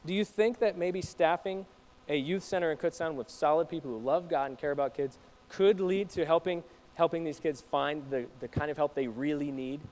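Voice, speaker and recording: A man's voice: a little high, darkish tone, low-quality recording. A man preaching to his congregation in a conversational way, putting questions to the listeners. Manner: conversational, asks the audience questions. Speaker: pastor. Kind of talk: sermon to a congregation. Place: church.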